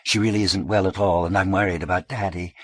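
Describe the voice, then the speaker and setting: A man voicing a worried teenage girl who speaks in halting, pleading fragments. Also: low voice, steady tone, no echo.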